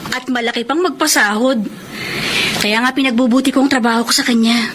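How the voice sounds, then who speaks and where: A woman's voice: on the high side, fairly even intonation, brisk, natural voice. A woman playing an earnest young housemaid. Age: young adult. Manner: polite, earnest, emotional. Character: young woman, hurt but hopeful housemaid. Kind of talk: radio drama acting. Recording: noisy radio drama recording.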